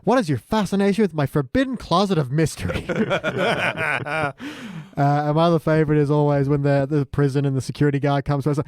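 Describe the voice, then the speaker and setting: A man's voice: on the high side, a little fast, no echo, clean studio sound. A man chatting casually as a podcast guest, with wry, playful banter. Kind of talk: casual chat.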